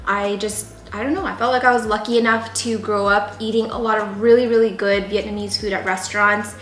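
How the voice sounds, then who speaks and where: A woman's voice: mid-range voice, fairly even intonation, fairly quick, fairly bright tone. A young woman from California hosting a casual cooking tutorial, chatty and rambling with lots of fillers, recorded in a home kitchen. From San Jose, California. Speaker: cooking video host. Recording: echoey kitchen with cooking clatter. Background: pots, dishes and knife clicks.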